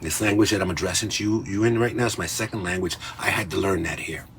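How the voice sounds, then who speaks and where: A man's voice: deep voice, quick pace, noisy background. A man in his late fifties, Cuban-born with a Spanish accent, lecturing in a confrontational video rant and tagging lines with "okay?". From Cuba. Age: 50s. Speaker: commentator in a clip.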